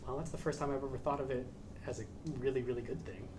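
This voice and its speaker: A man's voice: mid-range voice, steady tone, fast, noisy background. A man in his thirties from the American Midwest, answering audience questions after a lecture, thoughtful and hesitant with many restarts. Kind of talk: academic Q&A talk. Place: lecture room, standing.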